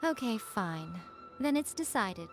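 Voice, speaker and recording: A woman playing a sly, self-assured shrine priestess in an animated game, teasing and smug in an unhurried way, over music. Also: a little high. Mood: haughty. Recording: music behind the voice.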